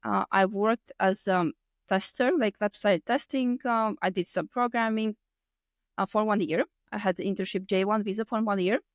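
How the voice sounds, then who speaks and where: A woman's voice: medium pitch, fairly even intonation, moderate pace, no room sound. Latvian-accented woman in her thirties, dry sound. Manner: non-native English with loose grammar and word order. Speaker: podcast guest. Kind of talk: casual personal storytelling in interview.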